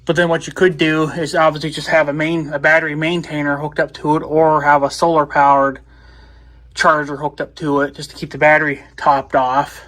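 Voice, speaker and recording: Male vlogger, slightly higher-pitched voice, casual hands-on demo, thinking out loud. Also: little pitch movement, a little fast. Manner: rambling, thinking aloud. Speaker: video blogger. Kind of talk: casual hands-on gadget demonstration.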